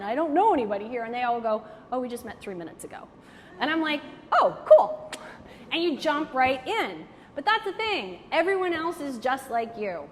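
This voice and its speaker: A woman with a slightly high voice speaks to a live audience, candid and playful, peppering her advice with "like". The sound rings in a reverberant hall with faint background noise. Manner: candid, joking, animated, frequent "like". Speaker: networking speaker. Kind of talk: lively talk to an audience, taking questions.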